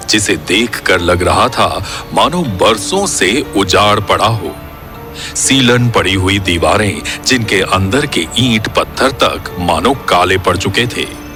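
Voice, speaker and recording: Low, slow male story narrator.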